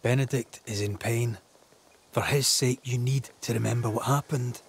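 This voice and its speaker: A man playing a compassionate but firm ghost hunter in a video game drama, calm, coaxing and deliberate. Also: medium pitch, gravelly, husky.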